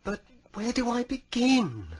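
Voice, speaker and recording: A man's voice: very high voice, very animated, measured pace, full character voice. A man playing a curious, timid young boy apprentice in an audio drama, speaking with hesitant wonder.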